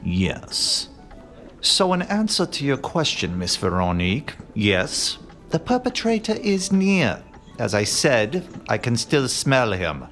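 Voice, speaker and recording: A man playing a haughty, aloof gentleman detective. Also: mid-range voice, huge pitch swings, heavily acted. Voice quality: haughty, measured, theatrical pauses. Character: aloof, pompous male gentleman detective Hughes. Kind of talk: radio play acting. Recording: faint music behind.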